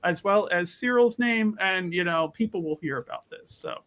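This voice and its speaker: A man playing a tabletop roleplaying game, casually narrating his character's actions in an excitable, loosely worded way. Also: high-pitched, normal speed.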